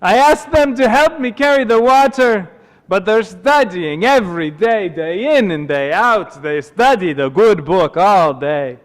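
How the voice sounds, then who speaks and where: Man playing a weary old water carrier, high-pitched. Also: lively intonation. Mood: grumbling, aggrieved. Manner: lamenting, drawn-out exclamations. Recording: long hall reverb.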